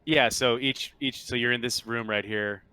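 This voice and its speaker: A man narrating a tabletop game casually as game master, explaining things in a halting, conversational way. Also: medium pitch, steady tone, sharp tone, smooth.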